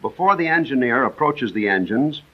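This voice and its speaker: An American man narrating a 1940s military training film in a measured, precise, instructional manner. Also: mid-range voice, expressive. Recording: dull vintage 1940s film soundtrack.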